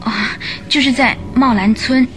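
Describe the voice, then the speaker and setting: High-voiced, anxious young gentlewoman, played by an actress. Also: unhurried, faint music behind, lightly acted. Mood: sorrowful recounting. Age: young adult. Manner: polite, hesitant. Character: frightened, polite, sorrowful young gentlewoman seeking help. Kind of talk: Chinese radio drama acting.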